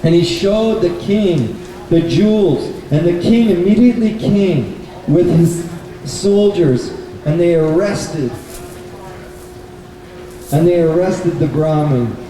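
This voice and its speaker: High-voiced man storytelling in an echoey room. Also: lively intonation, takes it slowly, pauses often, dark tone. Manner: simple, repetitive phrasing with questions to listeners. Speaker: puppet show storyteller. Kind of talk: storytelling to children. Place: bookstore event space. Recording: very echoey hall, distant mic, noisy.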